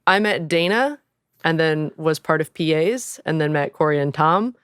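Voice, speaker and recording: A woman's voice: sits low, animated, normal speed, smooth, no room sound, studio quality. A woman chatting casually on a podcast as a co-host, effusive and earnest in the way she talks.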